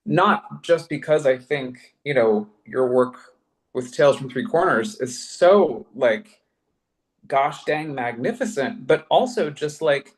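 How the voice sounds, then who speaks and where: A man's voice: medium pitch, expressive, slow. A man speaking conversationally in a panel discussion as a podcast producer, effusive and emphatic, thinking aloud in casual slang.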